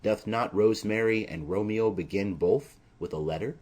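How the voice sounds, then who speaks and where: Man reading as a fussy low-voiced old nurse. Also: normal speed, darkish tone, lightly acted. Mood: chatty, fussy. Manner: rambling, exclaiming, flustered and indignant. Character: fussy, chattering older female nurse servant.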